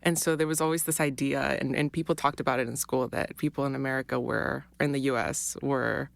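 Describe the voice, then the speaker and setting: Podcast guest: young woman from Honduras, low voice, dry close sound, chatty and upbeat. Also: fairly even intonation, brisk, vocal fry, no echo. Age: young adult. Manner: chatty, laughs often, many fillers. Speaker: guest student. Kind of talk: casual interview chat.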